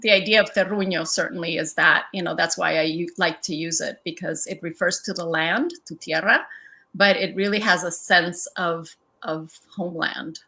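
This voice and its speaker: A middle-aged woman from El Salvador, an artist talking thoughtfully about her work in a museum conversation, with frequent pauses and ums. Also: lowish voice, fairly even intonation, sharp tone. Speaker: artist guest.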